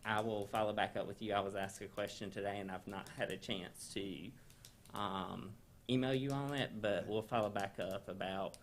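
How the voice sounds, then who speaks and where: Hoarse male presenter, deep flat gravelly voice. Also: moderate pace, slight background noise. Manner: low-key, gravelly. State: losing his voice, getting over a sinus infection. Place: council chamber.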